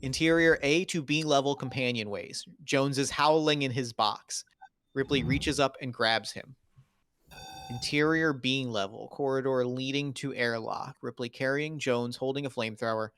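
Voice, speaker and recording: A man's voice: mid-range voice, fairly even intonation, moderate pace, fairly bright tone. A man narrating screenplay stage directions in dramatic, clipped phrases for a table read.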